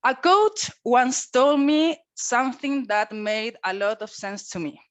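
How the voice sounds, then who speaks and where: A woman's voice: fairly high pitch, no room sound. Earnest woman giving a speech. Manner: earnest, measured delivery. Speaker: club speaker. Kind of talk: prepared speech on leadership. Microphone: video call.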